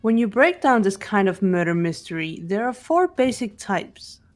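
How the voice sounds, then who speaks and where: A woman plays a composed teenage girl detective from a mystery visual novel. She lays things out precisely and deliberately, in a mid-pitched analytical voice over game music. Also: very animated, close to an everyday voice. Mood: calm, analytical. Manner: deliberate, precise, lecturing delivery.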